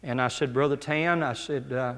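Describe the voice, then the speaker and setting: A man's voice: medium pitch, steady tone, normal speed, fairly bright tone. A man speaking as a missionary in a church, telling stories in a plain-spoken way with many restarts and asides. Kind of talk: church talk with personal stories. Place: church sanctuary. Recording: long church hall reverb.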